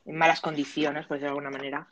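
A woman's voice: low-pitched, flat delivery, muffled recording. A woman with a Spanish accent, a podcast guest chatting casually and giggling often. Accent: Spanish from Spain. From Spain. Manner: chatty, drawn-out sighs, giggly.

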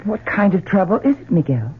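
An actress playing an anxious but loyal wife on an expedition, questioning and concerned, in old-time radio drama style. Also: female voice, medium pitch, very animated, a little fast, a little hiss or hum, close to an everyday voice. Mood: worried. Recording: thin narrow-band old radio recording.

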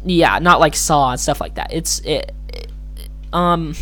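Solo podcaster, slightly hoarse, mid pitch, rambles and corrects themselves. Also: a little slow, a bit bright. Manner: rambling, hesitant, self-correcting. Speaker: podcast host. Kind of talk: casual solo commentary.

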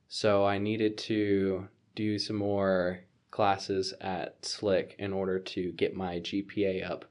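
A young man in his twenties, chatting casually and reflectively. He rambles with plenty of fillers and restarts, and his voice sits fairly low. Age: 20s. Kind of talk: casual conversation.

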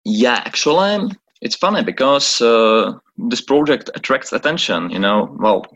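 A man's voice: mid-range voice, expressive, unhurried, a bit bright, dull audio. A man from the Republic, a podcast guest who talks hesitantly and searches for words. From Czech Republic. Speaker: guest, chief of staff. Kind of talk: podcast interview. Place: office in Prague, on a call.

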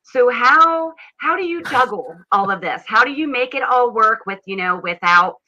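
A woman's voice: a little high, expressive, normal speed, bright, forceful tone. A woman in her thirties from Pennsylvania with a Pennsylvania accent, co-hosting in casual, laughing chat. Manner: chatty, laughs often, repeats words. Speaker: podcast co-host. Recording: dull lo-fi audio.

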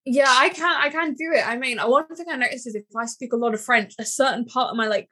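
Young woman, English accent, casual chat, giggly, self-mocking, fairly high voice. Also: quick pace, sharp tone, slightly rough. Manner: laughing often, chatty and self-mocking.

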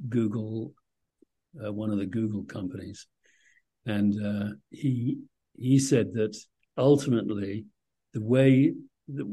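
A man's voice: medium pitch, dark tone, husky, poor audio. A man hosting a conversational interview, chatty and enthusiastic in his manner. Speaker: interview host.

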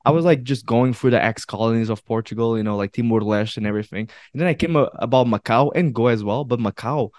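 A man hosting a podcast in casual chat, animated and laughing, often repeating phrases for emphasis. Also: mid-range voice, fast, hardly any pauses, no room sound, studio quality. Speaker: podcast host.

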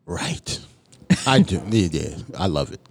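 A man's voice: sits low, big pitch swings, a little slow, gravelly, background noise. A man in his thirties co-hosting a podcast in casual chat, laid-back, swearing and laughing often. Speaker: podcast co-host.

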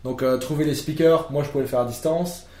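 A man's voice: fairly high pitch, fairly even intonation, fast, darkish tone. A young French man, a podcast guest, explaining at length in a casual conversation with many fillers and restarts. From France. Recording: echoey room.

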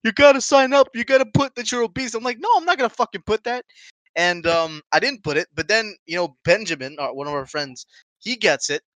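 A man's voice: high-pitched, lively intonation, fairly quick, a bit bright, no room sound. A man in his twenties co-hosting a casual podcast, rambling with restarts, laughing often and swearing freely, English not his first language. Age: 20s. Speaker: podcast co-host.

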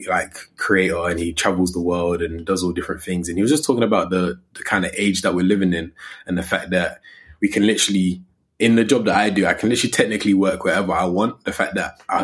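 A young English man in his twenties with a low-pitched voice talks as a podcast guest. His speech is informal and slangy, in the easy style of a casual chat about his own work. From Coventry, England. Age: 20s. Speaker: podcast guest, digital creator.

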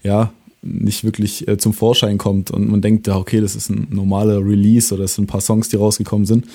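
Young man with a dark, mellow voice. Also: fairly low pitch, a little fast, gravelly. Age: young adult. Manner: relaxed, many fillers, trails off softly. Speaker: singer-songwriter guest. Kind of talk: casual interview chat.

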